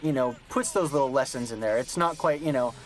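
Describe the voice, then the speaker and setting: A man's voice: mid-range voice, fairly quick. A man hosting a commentary track, asking guests questions and musing at length with lots of fillers. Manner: thoughtful, rambling, many fillers. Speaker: commentary host. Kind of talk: commentary chat.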